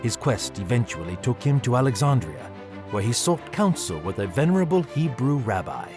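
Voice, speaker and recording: Patient father played by a man, mid-pitched, articulate storyteller with lively intonation, for audio drama. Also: moderate pace, music in the background, lightly acted. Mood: storytelling. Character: father telling his children a quest story.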